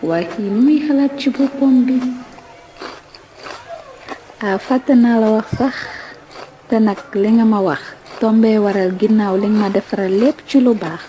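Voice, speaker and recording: A woman's voice: fairly high pitch, moderate pace, dark tone, slight background noise, natural voice, low-quality recording. A woman with a Senegalese accent acting a demanding young girlfriend in a comic cartoon, mixing French into Wolof. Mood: matter-of-fact. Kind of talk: comic animated cartoon dialogue.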